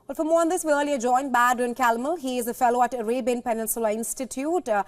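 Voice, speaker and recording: A woman with a notably high voice works as a news presenter. She reads scripted reports in the formal style of a news bulletin. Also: steady tone, quick pace.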